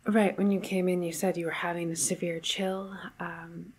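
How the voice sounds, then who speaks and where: Woman acting as female doctor, ASMR roleplay, breathy half-whisper, lowish even voice, hesitant, reassuring, clinical. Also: moderate pace. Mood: calm, explanatory. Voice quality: breathy, soft, partly whispered. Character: calm, reassuring female government doctor at quarantine facility. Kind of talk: ASMR medical roleplay, soft-spoken.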